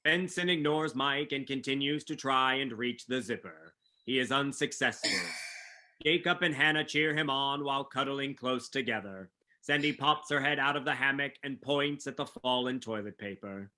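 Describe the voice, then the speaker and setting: A man reads scripted stage directions as narrator. His voice is mid-pitched, with a crisp, bright edge. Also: moderate pace.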